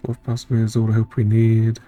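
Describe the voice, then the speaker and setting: A man's voice: on the low side, monotone, rapid-fire, mellow. A man streaming a story game, reading its text aloud and adding casual, musing reactions as he thinks it through. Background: game music.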